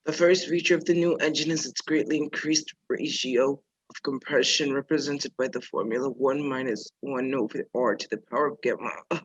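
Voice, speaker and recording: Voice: mid-range voice, brisk, husky, lightly acted. A performer acting a precise music-hall memory man who recites facts formally in a radio play. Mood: weak, dutiful. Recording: dull lo-fi virtual recording.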